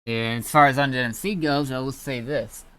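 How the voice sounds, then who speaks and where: A man's voice: medium pitch, fairly even intonation, a little fast, fairly bright tone, smooth. A man chatting casually as an online roleplay gamer, in a hesitant, rambling way. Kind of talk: casual chat.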